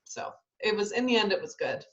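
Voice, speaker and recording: A woman's voice: mid-range voice, expressive, fairly quick, a bit bright, highs cut off. A young adult woman, a ballet dancer born in Italy and raised in Southern California, chatting casually in an interview with lots of ums and restarts. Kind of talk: casual interview over video call.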